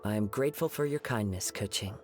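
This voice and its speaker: A man playing a gentle young wanderer in an anime-style video game, speaking in a polite, measured, formal way. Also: fairly low pitch, soft background music, lightly acted. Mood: courteous.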